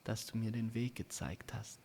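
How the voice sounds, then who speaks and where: A man playing a sensitive, grateful male wanderer in a fantasy audio play, earnest and soft-spoken. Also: lowish voice, steady tone, moderate pace, long reverb tail, slight background noise, voice pushed up, lightly acted. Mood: sad, grateful.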